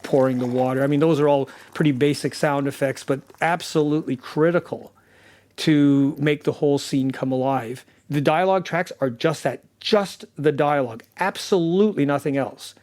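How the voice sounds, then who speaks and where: A man speaks in an interview as a sound designer, in a slightly high voice. He explains his work earnestly and leans on key words for emphasis. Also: moderate pace, a little mellow. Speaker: audio drama sound designer.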